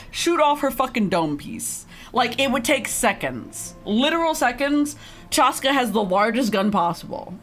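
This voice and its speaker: Girl streamer chatting over a game, blunt n sarcastic, very animated, bit of music behind. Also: a little high, a little slow. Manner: blunt, sarcastic, swearing, giggly. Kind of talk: casual game commentary.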